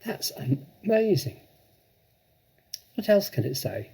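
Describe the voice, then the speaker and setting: A man's voice: sits high, very animated, slow, creaky, dark tone, husky, voice pushed up, exaggerated voice. Man playing an eager, theatrical young girl. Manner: exclamatory, theatrical. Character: clever, scheming young girl, eager and dramatic.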